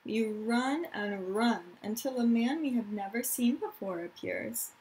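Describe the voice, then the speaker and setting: A woman teacher reading a picture book aloud to children in an expressive, deliberate storytelling manner, with explanatory asides. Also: fairly high pitch, low-quality recording. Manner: dramatic, deliberate storytelling delivery, addressing children directly.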